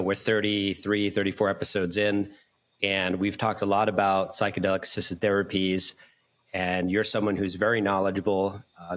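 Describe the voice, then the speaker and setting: A man with a fairly low voice hosts an interview podcast. He talks in an earnest, encouraging manner and is effusive with his listeners and guest. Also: fairly bright tone. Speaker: podcast host. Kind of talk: podcast intro and interview talk.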